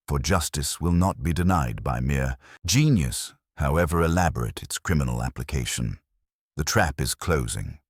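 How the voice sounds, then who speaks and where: A man playing a shrewd, courteous Belgian detective in an audiobook, speaking in formal, measured, theatrical deductions. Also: very deep voice, husky, no echo, clean studio sound, heavily acted. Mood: solemn, knowing.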